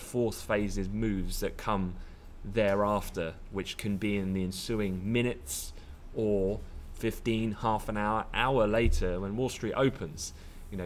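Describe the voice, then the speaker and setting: A British man in his thirties presenting a live market briefing, giving advice in a conversational, explanatory way. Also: fairly low pitch. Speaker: head of market analysis, presenter. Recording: background noise.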